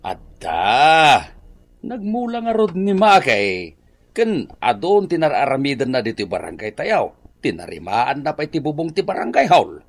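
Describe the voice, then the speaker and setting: Animated Ilocano village captain. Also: male voice, medium pitch, a little slow, full character voice. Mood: approving. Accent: Ilocano Filipino. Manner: animated, exclaiming, chuckling. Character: cheerful male village captain praising helpful residents. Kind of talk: Ilocano radio drama acting.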